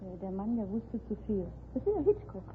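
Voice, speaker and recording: A native German woman with a German accent, answering as a quiz contestant in halting, simple English. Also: medium pitch, very animated. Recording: noisy tinny old radio, studio audience.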